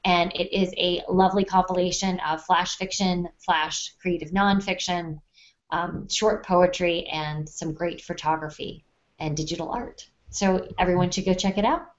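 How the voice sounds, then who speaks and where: A woman's voice: on the low side, steady tone, normal speed. A woman co-hosting a casual podcast, explaining her points plainly and conversationally. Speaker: podcast co-host. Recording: dull, muffled lo-fi sound.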